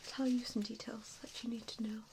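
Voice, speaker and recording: A woman performing a softly spoken ASMR roleplay as a gentle, reassuring vampire mentor, with a soothing, hesitant manner. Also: a little high, level tone, fairly quick, creaky, slight background noise.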